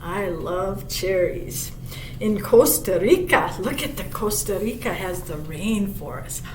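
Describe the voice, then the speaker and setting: A woman reading a children's picture book aloud as a library storyteller, pausing for chatty asides and questions to young listeners. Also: lively intonation, darkish tone. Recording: roomy, distant mic with clear low rumble noise.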